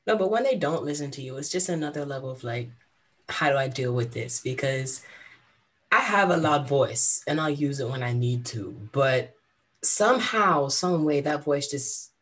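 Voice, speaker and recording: A man telling stories in casual chat, expressive and laughing. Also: a little high, normal speed.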